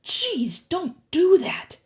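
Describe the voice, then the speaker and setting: A woman's voice: extremely high pitch, huge pitch swings, very slow, darkish tone, pitched above the performer's own voice, full character voice. A woman voicing an alarmed, incredulous young boy hero in an audiobook, protesting and flustered. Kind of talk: audiobook character voice.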